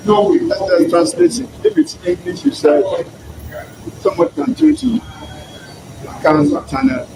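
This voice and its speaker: An older man with a Ghanaian accent giving his views in an interview, with emphatic, deliberate phrasing. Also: sits high, huge pitch swings. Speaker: emeritus professor and interviewee. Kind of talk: spoken commentary in an interview. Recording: clearly noisy location sound.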